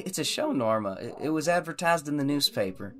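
Wry, animated young boyfriend, acted by a man. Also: on the high side, a little fast. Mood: amused, teasing. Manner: wry, incredulous. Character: teasing, unflappable young boyfriend on the telephone.

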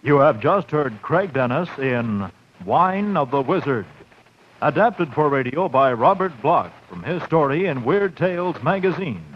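A man announcing in scripted 1940s radio style. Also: on the high side. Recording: muffled, faintly noisy 1940s radio recording.

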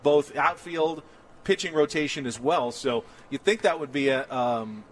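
A man hosts a casual sports talk radio show in a middle-pitched voice. He talks conversationally, letting his sentences run on as he works through a point. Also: a little slow, a little hiss or hum. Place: outdoor patio at a ballpark complex.

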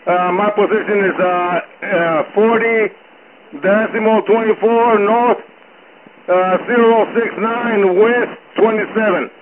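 An agitated man captaining a fishing boat calls for help over marine radio. His voice is high and halting, and he repeats himself. The narrow radio sound has a busy clutter of voices behind it. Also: fairly even intonation, crowd noise. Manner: halting, repetitive. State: agitated, distressed. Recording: phone-like radio transmission, busy background voices.

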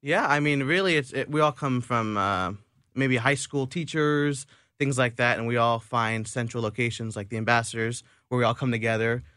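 A man's voice: medium pitch, normal speed, pushed and bright, clean tone, dry recording. Man, twenties, singer guest, mid-range voice, casual interview answers, dry studio. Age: 20s.

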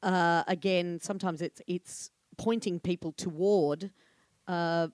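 Older Australian woman, low voice. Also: steady tone, slow pace, darkish tone, no room sound. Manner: chatty and candid, many fillers and restarts, laughs often. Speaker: comedy room programmer, guest. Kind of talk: live podcast conversation.

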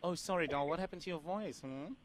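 A man's voice: a little high, brisk, a little hiss or hum, pitched above the performer's own voice, lightly acted. A man playing a sassy, overfamiliar prank caller who keeps calling the listener "girl" and "doll". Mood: sassy teasing. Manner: teasing, calls her "girl" and "doll". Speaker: radio host doing a prank call.

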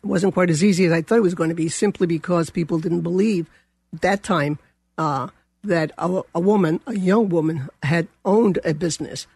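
An older woman with a Colombian accent, speaking as a guest. Her voice is gravelly and a little husky, and she wanders through her story with plenty of fillers. The recording is close and dry. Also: lowish voice, moderate pace, dark tone, no echo. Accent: Colombian Spanish. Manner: rambling, many fillers and restarts. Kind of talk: casual interview.

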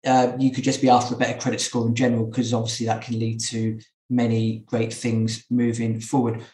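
A male podcast host with a mid-pitched voice speaks casually, steering an interview in a conversational way. The recording is noticeably echoey, with the room audible around his words. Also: monotone, brisk, mellow. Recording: echoey, roomy sound.

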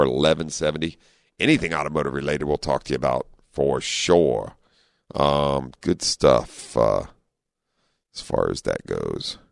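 A man with a deep voice hosting a call-in car radio show, talking in a friendly, chatty way with lots of fillers. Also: creaky, clean tone, dry recording, clean studio sound. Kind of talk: casual talk radio hosting. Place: radio studio. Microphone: studio microphone.

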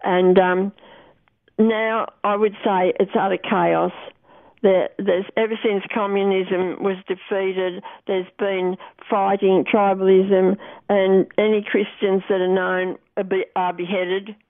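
An older Australian woman recounting her memories as an interview guest, speaking earnestly and haltingly, with repeated words. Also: mid-range voice, flat delivery, no room sound. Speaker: interview guest, former missionary. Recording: thin phone-like line.

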